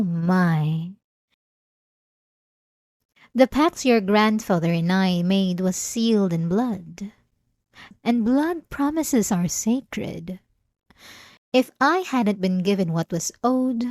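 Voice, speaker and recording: A woman's voice: mid-range voice, a little mellow, no echo, studio quality. A woman playing an obsessive, possessive centuries-old vampire in an ASMR roleplay, speaking in a theatrical, silky, menacingly tender way. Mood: sinister, wistful.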